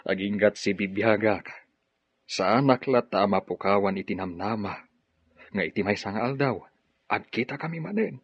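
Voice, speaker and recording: A man's voice: on the low side, moderate pace, breathy edge. An actor playing a devoted middle-aged father in a Filipino radio drama, earnest and sentimental, with a Filipino accent. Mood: tender, longing. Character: devoted middle-aged father, still pining for lost sweetheart. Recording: dull, muffled radio drama audio.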